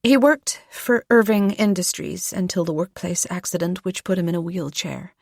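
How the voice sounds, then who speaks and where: A woman voicing a grieving adult daughter with an American accent, speaking softly and wearily, often half-whispered. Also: on the low side, normal speed, gravelly, dry recording, studio quality, voice pushed up. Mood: weary.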